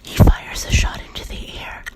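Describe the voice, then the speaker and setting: A storyteller whispering a horror story throughout, in a loose, profane way. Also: normal speed.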